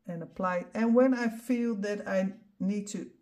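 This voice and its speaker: A middle-aged woman with a Dutch accent, not a native English speaker, calmly explaining each step of a painting tutorial as she works. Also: mid-range voice, measured pace. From Netherlands. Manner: calm step-by-step explaining while demonstrating. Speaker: art instructor.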